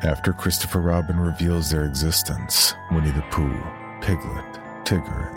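Deep voiced guy reading a film promo over music. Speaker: advertisement announcer. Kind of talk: scripted movie trailer promo.

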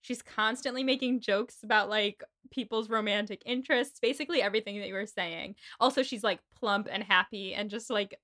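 A woman's voice: mid-range voice, a little fast, hardly any pauses, bright, forceful tone. A woman co-hosting a casual book podcast, chatty and laughing often, swearing freely as she recaps chapters. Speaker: podcast co-host. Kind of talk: casual book-club chat.

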